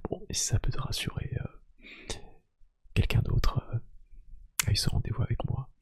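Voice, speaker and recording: A man speaking in a soft, hushed ASMR roleplay style, gently asking questions in an unhurried, hesitant, lightly teasing way. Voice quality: hushed, breathy, half-whispered. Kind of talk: soft-spoken ASMR roleplay, one-sided conversation.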